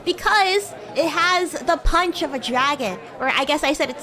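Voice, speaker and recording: A woman's voice: high voice, normal speed, pushed and bright. A woman voicing a bratty young demon schoolgirl in a roleplay stream, teasing and stammering when flustered. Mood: chatty. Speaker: VTuber streamer playing a character. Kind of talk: improvised roleplay livestream, chatting with viewers.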